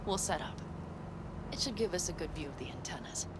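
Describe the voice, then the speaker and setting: A woman's voice: sits low, expressive, brisk, heavy background noise. Brash tough nomad woman. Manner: brash and teasing, curses a lot. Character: tough young woman nomad driver, bold and blunt. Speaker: game character. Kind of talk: video game cutscene dialogue. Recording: game audio with loud music behind.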